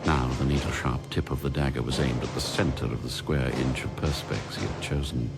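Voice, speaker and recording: A man's voice: very deep voice, fairly even intonation, brisk. A man reading dramatic scripted narration as the narrator of a story. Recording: clear music under the narration.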